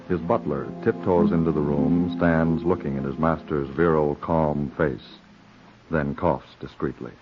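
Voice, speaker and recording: A man announcing and narrating a 1940s radio drama in a measured, formal, theatrical style. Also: deep voice. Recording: dull vintage radio recording with music. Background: music.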